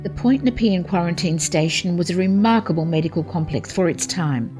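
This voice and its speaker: A woman giving steady, informative, scripted historical narration for a radio play series. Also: lowish voice, brisk, music in the background.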